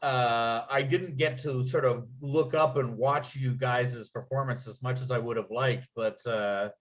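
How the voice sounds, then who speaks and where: Man, mid-pitched, leading a casual creative discussion; hesitant, hedging, thinking out loud. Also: fairly even intonation. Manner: halting, hedging, thinking aloud. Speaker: writer leading a feedback talk after a script read.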